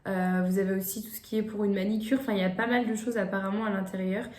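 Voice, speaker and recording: A woman's voice: medium pitch, fairly even intonation, fast talker, a little mellow. A young woman vlogging gift ideas in casual chat to the camera, hesitant, with many fillers and restarts. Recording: echoey room.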